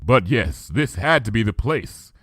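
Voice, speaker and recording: A man's voice: lowish voice, a little slow, no echo, clean studio sound. Man narrating a fan fiction story. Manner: calm storytelling delivery. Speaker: reader. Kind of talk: first-person audiobook narration.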